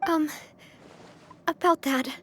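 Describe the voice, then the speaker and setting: A woman's voice: high voice, fairly even intonation, slow pace, slight background noise. An actress playing a composed, caring young woman who leads a syndicate in an anime-style video game, speaking sincerely and with measure. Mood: sorrowful. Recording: game music behind. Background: music.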